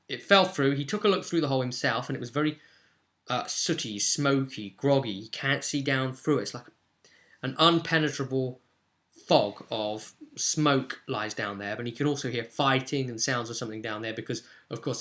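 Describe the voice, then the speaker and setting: A man casually recapping a tabletop roleplay session as game master, with expressive storytelling and dramatic emphasis. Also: mid-range voice.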